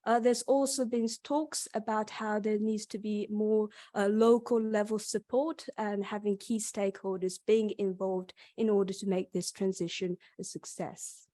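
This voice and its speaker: A woman's voice: mid-range voice, level tone, normal speed, few pauses, warm tone, dry recording, studio quality. Young woman presenting with a Yorkshire accent. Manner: hesitant, many ums and restarts. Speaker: PhD student presenter. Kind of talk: academic conference presentation.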